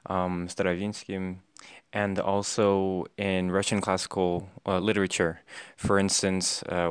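A young man with an American accent speaks in a low voice in a dry-sounding space. He chats reflectively, as someone answering questions in a relaxed interview. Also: clean tone, no room sound. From United States. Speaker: language learner being interviewed. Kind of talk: casual conversational interview.

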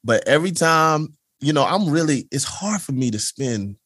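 A man's voice: on the high side, expressive, normal speed, a bit bright, dry recording, clean studio sound. A man hosting a podcast, chatting in a laid-back way and laughing often. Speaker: podcast host. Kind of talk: casual conversation.

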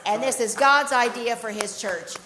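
A woman's voice: a little high, normal speed, noisy background. An older woman leading a church discussion in an earnest, emphatic sermon style, with light joking asides. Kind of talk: sermon-style panel hosting. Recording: long hall reverb.

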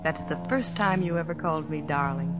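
An actress playing a devoted, sensible middle-aged American wife in an old radio drama, tender, earnest and gently chiding. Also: female voice, sits low, a little fast, background noise, natural voice. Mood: gently probing. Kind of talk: 1950s radio drama acting. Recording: muffled old radio recording with music.

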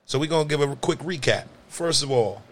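A man hosting a podcast, joking crudely and loudly, swinging his voice high when he riffs. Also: brisk. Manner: loud, profane, joking, swings pitch high when riffing. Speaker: podcast host. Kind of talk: crude comedic banter.

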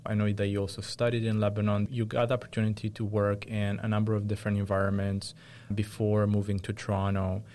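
Guy hosting a career interview show, lowish voice, polite long questions. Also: monotone, quick pace, a little mellow, a little hiss or hum. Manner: polite, long winding questions with small affirmations. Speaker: host.

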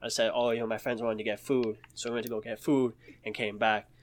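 A man's voice: medium pitch, little pitch movement, a little fast. A young man telling stories from his youth in a casual podcast chat, laid back, full of slang and laughing through his stories.

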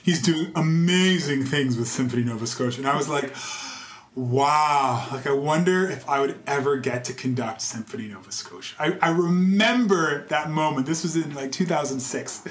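A man's voice: fairly high pitch, normal speed. A young adult man interviewing a fellow conductor, hesitant and full of restarts and "like". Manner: hesitant, restarts often, says "like" a lot. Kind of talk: conversational interview. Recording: roomy with faint ambience.

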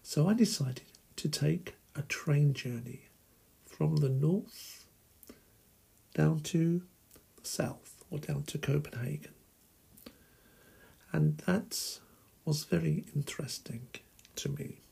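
A middle-aged man from England hosting a solo podcast, talking slowly and deliberately in a husky, gravelly voice. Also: a little high, warm tone. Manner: slow, deliberate and plain. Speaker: podcast host. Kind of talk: slow solo podcast monologue.

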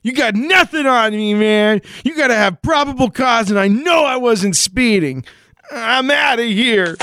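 A man's voice: very high-pitched, animated, a little slow, sharp tone, slight background noise, pitched above the performer's own voice, exaggerated voice. A man playing a paranoid, defensive slacker traveler with a stoner drawl in a comic radio play. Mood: defensive, indignant. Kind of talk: comic 1940s-style radio play acting.

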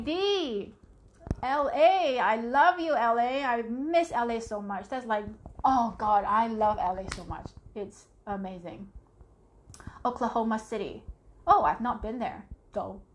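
A woman's voice: medium pitch, slight background noise. A woman from Singapore, hosting a casual spiritual live talk and chatting through viewer comments. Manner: chatty, reads viewer comments aloud, tags "right?". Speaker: live stream host.

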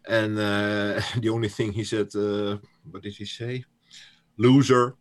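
Dutch-accented male guest, low-ish voice, halting and filler-heavy. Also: flat delivery. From Netherlands. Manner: hesitant, many fillers and restarts. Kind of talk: casual podcast conversation.

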